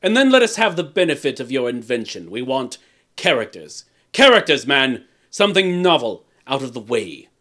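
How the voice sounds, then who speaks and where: A man's voice: very animated, normal speed, fairly bright tone. A man voicing a tyrannical, mocking king in a storybook reading, roaring out impatient commands. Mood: commanding, mock merry. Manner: roaring, booming commands and forced laughter. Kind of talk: theatrical storybook character acting.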